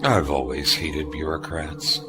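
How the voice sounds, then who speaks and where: A man playing a gruff, skeptical veteran starship officer in a sci-fi audio drama, with a dry, probing manner. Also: very deep voice, steady tone, unhurried, over music. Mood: grumpy, skeptical.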